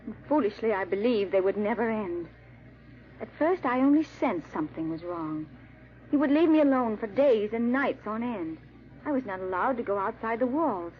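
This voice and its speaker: Woman acting anxious young bride, high voice, theatrical formal diction, old radio melodrama, muffled recording. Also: expressive, normal speed, close to an everyday voice. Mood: wistful recollection. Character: anxious young French bride recalling her ordeal. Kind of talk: 1940s radio drama acting.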